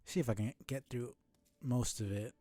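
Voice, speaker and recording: A man's voice: medium pitch, moderate pace, warm tone, no room sound. A man streaming a game live, thinking aloud casually, often correcting himself and swearing mildly. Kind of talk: casual live gameplay commentary.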